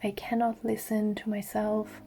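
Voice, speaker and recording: A woman with a raspy voice, vlogging about her clay art in a soft-spoken way that often drops to a whisper. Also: mid-range voice, monotone, a little slow. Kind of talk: casual vlog narration.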